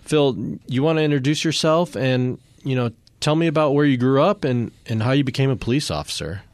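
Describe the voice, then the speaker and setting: A man's voice: medium pitch, brisk, no echo. A man with an American accent hosting a casual radio interview as a police sergeant.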